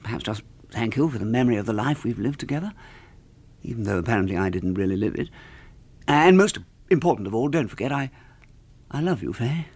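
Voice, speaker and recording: An actor playing an earnest, gentle middle-aged British husband in a radio drama, speaking with some hesitation. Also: male voice, medium pitch, animated, brisk, breathy edge. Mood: tender, resigned. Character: earnest, gentle husband, a doomed lifelike duplicate.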